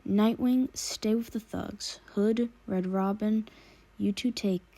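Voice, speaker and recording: A woman's voice: mid-range voice, fairly even intonation, takes it slowly, warm tone, no room sound. A woman voicing a commanding, terse male vigilante leader who gives curt orders in an audiobook story.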